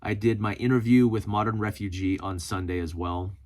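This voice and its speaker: A man's voice: lowish voice, a little fast, a little mellow. A man hosting a casual solo vlog, talking informally with self-deprecating humor as he reads and answers comments. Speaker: host of a preparedness video channel.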